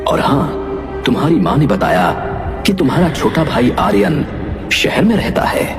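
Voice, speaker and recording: A man's voice: a little high, sing-song, unhurried, gravelly, echoey room, in a large hall, exaggerated voice. A man voicing a grave, commanding holy man in a horror audio story, speaking solemnly over music. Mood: solemn warning. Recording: loud music under the voice.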